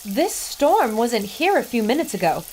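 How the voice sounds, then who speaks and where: Casting: female actor as intrepid newswoman, sharp-tongued, brisk, fairly high voice, pulp radio adventure. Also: sing-song, a little hiss or hum. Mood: businesslike. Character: intrepid, sharp-tongued woman newspaper reporter. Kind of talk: pulp adventure audio drama acting.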